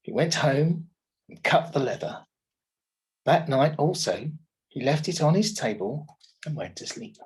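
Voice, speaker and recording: An English teacher reads a fairy tale aloud to young children, with expressive storytelling. His voice is a little high and quite breathy. The delivery rises and falls in a sing-song way. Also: measured pace, long pauses, rough recording. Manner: expressive storytelling with questions to listeners.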